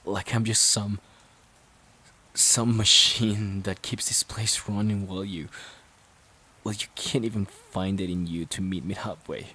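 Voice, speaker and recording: A low, husky male actor's take on a boyfriend at breaking point in an audio drama. He speaks haltingly and slowly, breathy and pleading, worn down by resentment, with rain behind him. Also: fairly even intonation, pushed and bright, full character voice. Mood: exasperated, exhausted. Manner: halting, pleading, often dropping toward breathy near-whisper. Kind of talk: emotional audio drama acting.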